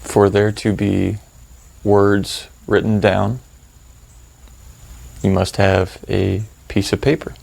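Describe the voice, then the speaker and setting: Low, gravelly man guiding a slow meditation. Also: poor audio. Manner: calm, hushed, unhurried with long pauses; informal, plain-spoken. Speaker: meditation guide. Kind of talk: slow guided meditation, unscripted and conversational.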